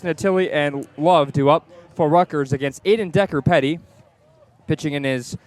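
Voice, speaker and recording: A man calling live baseball play-by-play on the radio from the ballpark. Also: a little high. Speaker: play-by-play sports announcer. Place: outdoors at a baseball stadium.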